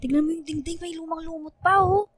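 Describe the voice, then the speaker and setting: A woman's voice: very high-pitched, fairly even intonation, brisk. A young woman playing a skeptical, easily spooked student in a Filipino radio drama, teasing and emphatic. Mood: teasing, uneasy.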